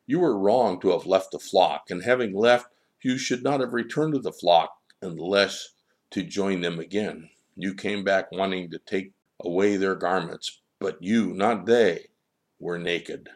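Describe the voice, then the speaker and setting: Man as a stern, wise child, low-voiced. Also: a little slow. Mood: solemn, admonishing. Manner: stern, declarative, repetitive, solemn. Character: stern, wise, all-knowing boy child, calmly admonishing. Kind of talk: storybook character dialogue.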